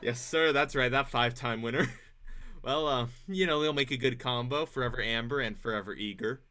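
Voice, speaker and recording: A man's voice: mid-range voice, brisk, natural voice. A man playing a wisecracking 1940s radio comedian and master of ceremonies, with quippy, stagey patter. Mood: joking, quippy. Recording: noisy live stage, audience sounds.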